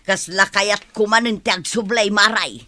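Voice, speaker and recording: A woman's voice: mid-range voice, moderate pace, pushed and bright, no echo, lightly acted. A woman with an Ilocano accent playing a village gossip who relishes scandal in a radio comedy. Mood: conspiratorial. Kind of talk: radio comedy drama acting.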